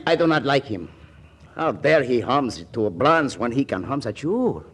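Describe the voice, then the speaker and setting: An actor playing an excitable, mooching Latin friend with a Spanish accent and halting, mangled English in a 1940s radio comedy. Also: male voice, mid-range voice, husky. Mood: indignant. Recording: noisy vintage 1940s radio broadcast.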